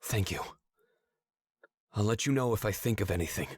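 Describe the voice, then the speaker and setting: A man playing a troubled, earnest young man in a video game, with a husky, hesitant delivery. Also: lowish voice, moderate pace, no room sound. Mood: confused, subdued. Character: troubled, earnest young man confronting his father's usurper.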